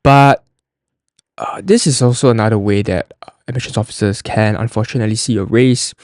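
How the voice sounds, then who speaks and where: A man's voice: fairly low pitch, fairly quick, a little mellow, no echo, studio quality. A young man from Singapore, hosting an admissions podcast and walking through an application form in a chatty, loose way with frequent restarts. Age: young adult. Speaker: college admissions podcast host.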